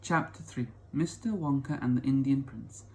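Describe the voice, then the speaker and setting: A man's voice: mid-range voice, normal speed, mellow, rough recording. A man reading a children's story aloud as the storyteller, in a narrating style.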